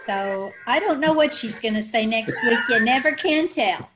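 A woman's voice: a little high, expressive, moderate pace, over music, poor audio. A woman from an amateur radio troupe talking over a video call from home.

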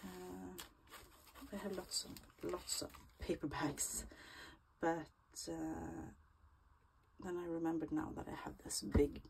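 A woman with a low, gravelly voice and a stuffy cold chats her way through a crafting session in her small craft room. She drifts between easy talk and half-whispered murmurs to herself. The recording carries steady background noise and clicks. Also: takes it slowly, low-quality recording. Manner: chatty, often murmuring half-whispered asides while working. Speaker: crafting vlogger. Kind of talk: casual crafting walkthrough.

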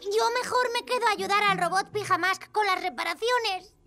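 A woman's voice: very high voice, normal speed, over music. A woman voicing an anxious, sweet little boy superhero in a cartoon dub, earnest and childlike, with a Castilian Spanish accent. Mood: worried.

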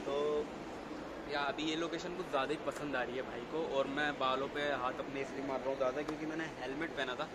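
A young man talks to his vlog audience in casual, slangy speech sprinkled with English. His voice sits at an average pitch. It is captured on a handheld phone with plenty of noise around him. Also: fairly even intonation, fast talker. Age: young adult. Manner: chatty, slangy, mixes in English words. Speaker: vlogger. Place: city pavement, mall and parking area. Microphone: phone at arm's length. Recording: noisy handheld recording, traffic and ambience.

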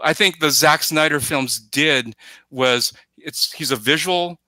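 Bright-voiced man, rambling and emphatic. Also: fairly high pitch, slow, no echo. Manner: rambling, emphatic, acts out imagined quotes. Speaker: podcast guest commentator. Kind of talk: casual film discussion. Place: indoors, plain room, video call. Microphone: headset.

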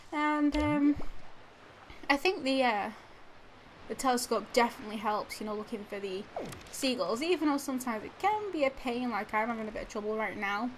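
A young woman with a stuffy nose from a cold, giving rambling casual commentary over a video game, full of fillers and restarts. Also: on the high side. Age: young adult. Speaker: let's play gamer. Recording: audible background noise.

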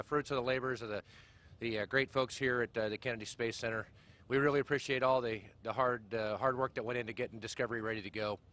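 A man's voice: medium pitch, a bit bright. A middle-aged man briefing the press outdoors as mission commander, friendly and full of "uh" fillers, on a handheld mic. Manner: friendly, fast, many "uh" fillers. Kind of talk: outdoor press conference remarks. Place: outdoors at a launch pad.

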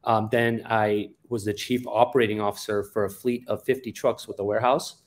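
A man's voice: on the low side, level tone, fairly quick. A man in his forties from Afghanistan, a founder telling his background on a video call with many ums and uhs. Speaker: guest, company founder. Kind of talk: interview storytelling. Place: office indoors. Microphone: webcam on a video call.

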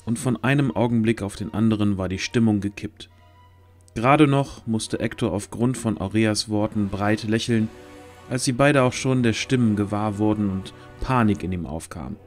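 Guy narrating a fantasy audio play, lowish voice, faint music behind. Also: moderate pace. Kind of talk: scripted audio drama narration.

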